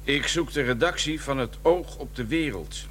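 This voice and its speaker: An actor playing a calm homicide detective who questions a witness, in a radio drama. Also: male voice, medium pitch, normal speed, a little hiss or hum, natural voice. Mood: probing. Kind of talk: 1990s radio drama acting.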